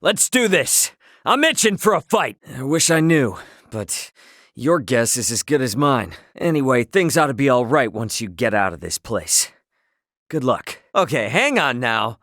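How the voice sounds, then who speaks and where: Easygoing game hero, lively pitch. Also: male voice, unhurried, no room sound, clean studio sound. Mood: fired up, then wry, casual. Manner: casual, colloquial, wry. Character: easygoing, cocky, loyal young male adventurer hero. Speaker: video game voice actor. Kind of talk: acted video game dialogue.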